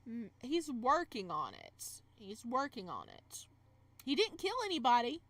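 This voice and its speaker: A woman doing casual, animated commentary while reading and playing through a visual novel, exclaiming and repeating her phrases. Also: fairly high pitch, measured pace, no echo. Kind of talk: casual reaction commentary mixed with read-aloud narration.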